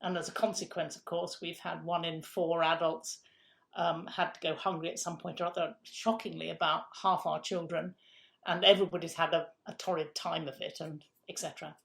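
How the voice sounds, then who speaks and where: A woman's voice: lowish voice, flat delivery, brisk, vocal fry. A woman being interviewed as the executive director of a food council, explaining in a frank, emphatic way. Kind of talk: interview answers.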